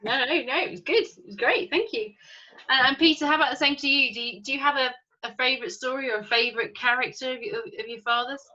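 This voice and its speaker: A woman's voice: sits high, fast talker, pushed and bright. A woman interviewer asking casual questions, recorded with dull, lo-fi sound.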